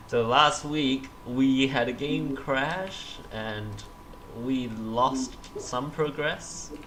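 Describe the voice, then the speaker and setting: A man's voice: medium pitch, drawn-out words, fairly bright tone, a little hiss or hum. A man co-hosting a casual gaming livestream, groggy from just waking up, who laughs often and restarts his sentences. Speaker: streamer co-host.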